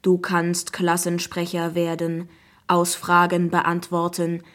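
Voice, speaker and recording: Lady doing a friendly coaxing ai app voice for a kids story, really slow and deliberate, kinda low. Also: monotone. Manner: slow, measured, deliberate delivery. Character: friendly, smooth-talking AI app voice, inviting and coaxing. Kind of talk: children's audiobook character voice.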